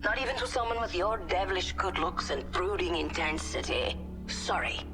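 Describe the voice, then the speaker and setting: A woman's voice: on the low side, animated, moderate pace, music in the background, lightly acted. Woman playing a flirty, swooping mercenary queen. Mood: coy teasing. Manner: teasing, melodramatic, coy. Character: flamboyant, flirtatious female mercenary leader, mocking and theatrical. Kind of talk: video game acting.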